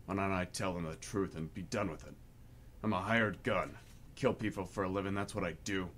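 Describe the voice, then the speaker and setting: A man playing a blunt, world-weary young mercenary in a radio play monologue, gruff, colloquial and self-mocking. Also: low-pitched, a little fast, fairly bright tone, a little hiss or hum. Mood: bitter candor. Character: world-weary, blunt male mercenary in his late twenties.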